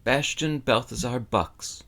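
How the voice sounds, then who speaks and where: A man voicing a timid, bullied little boy who speaks softly and hesitantly in a storybook reading. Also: medium pitch, slow pace, creaky, voice pushed up. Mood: meek but defiant. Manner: soft, hesitant, stammering. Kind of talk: audiobook character acting.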